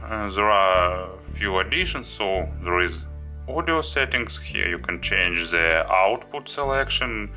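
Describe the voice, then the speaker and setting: Deep, slow-paced man reviewing a gadget. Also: expressive, sharp tone, faint music behind. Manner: halting, listing items one by one. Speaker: product reviewer, host. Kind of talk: unscripted product review walkthrough.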